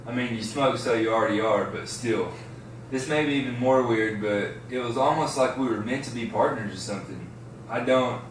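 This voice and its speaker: A man's voice: medium pitch, natural voice. A man playing a friendly, laid-back teenage stoner boy in an amateur script read. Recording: echoey room, distant mic, faint noise.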